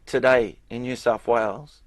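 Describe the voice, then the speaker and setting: A man's voice: medium pitch, normal speed, dry recording. Man, host; easygoing, joking chat; dry, dead-sounding recording. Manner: joking, relaxed, casual. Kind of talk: casual interview chat.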